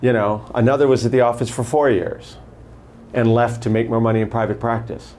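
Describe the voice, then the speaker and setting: An older man running for prosecutor, answering interview questions in a measured way and telling stories from his career. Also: medium pitch, little pitch movement, a little mellow. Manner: measured, anecdotal, lists names and past posts. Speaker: candidate for prosecutor.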